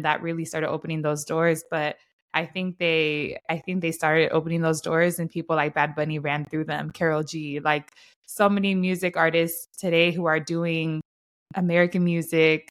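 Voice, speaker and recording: A woman hosting a podcast, upbeat and affirming, chatting casually with her guest. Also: low voice. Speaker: podcast host. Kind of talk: casual interview chat, mixing English and Spanish.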